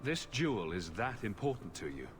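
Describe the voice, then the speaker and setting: An actor playing a witty, roguish fantasy hero in a video game, sarcastic and playful. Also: male voice, lowish voice, sing-song, normal speed, a little hiss or hum. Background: game music.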